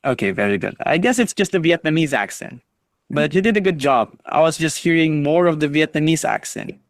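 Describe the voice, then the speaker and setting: A man's voice: on the high side, sing-song, a little fast, gravelly, no room sound, clean studio sound. A man teaching an online English class over a video call, patiently drilling students on pronunciation and encouraging them.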